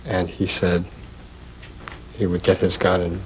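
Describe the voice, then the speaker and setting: Male witness, twenties, fairly low pitch, fragmented courtroom testimony. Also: monotone, a little hiss or hum, poor audio. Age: 20s. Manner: halting, broken phrases.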